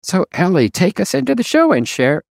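A man's voice: sits high, huge pitch swings, moderate pace, vocal fry, no room sound, clean studio sound. A man from the United States hosting a podcast interview, upbeat and effusive. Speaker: podcast host.

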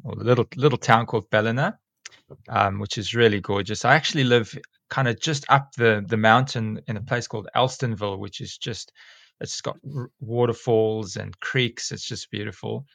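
A South African man of about forty chats as a podcast guest, rambling a little with plenty of ums. His voice sits low and has a gravelly, fry-edged texture. The sound is close and dry, with no room echo. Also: fairly even intonation, moderate pace, fairly bright tone. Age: 40s. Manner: rambling, many ums and restarts. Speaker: guest, school teacher and snake catcher. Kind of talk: casual podcast interview.

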